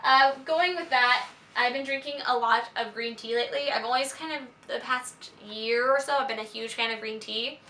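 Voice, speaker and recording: Bright, high-voiced young American woman vlogger. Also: normal speed. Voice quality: slightly raspy. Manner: gushing, informal, emphatic. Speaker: lifestyle vlogger. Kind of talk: casual chatty product favorites video. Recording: roomy echo, faint background noise.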